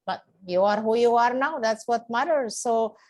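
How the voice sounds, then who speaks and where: A woman's voice: a little high, normal speed, a little mellow. A woman hosting a podcast, introducing her guest and prompting him with friendly questions. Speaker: podcast host. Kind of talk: casual interview.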